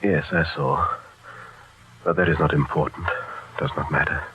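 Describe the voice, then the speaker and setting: An actor playing a wounded, fatalistic refugee in a brooding, halting manner for 1940s radio drama. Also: male voice, rumbling low, steady tone. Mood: weary, resigned. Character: wounded haunted refugee man, gaunt, fatalistic and obsessed. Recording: tinny, lo-fi old radio, music behind.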